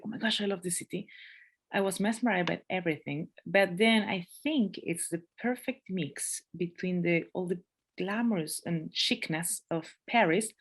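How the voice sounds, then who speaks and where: Chatty Peruvian woman with Spanish accent. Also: medium pitch. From Peru. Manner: chatty, laughs often, restarts sentences. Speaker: podcast guest. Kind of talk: casual interview chat.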